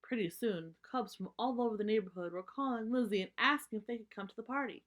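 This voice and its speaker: A woman's voice: a little high, fast talker, fairly bright tone. A woman reading a children's story aloud, explaining things in simple terms for young listeners.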